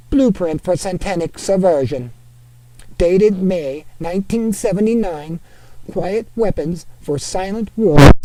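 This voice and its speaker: A man reading an article aloud in a put-on Norwegian accent, steady and deliberate. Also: sits high, no room sound, rough recording.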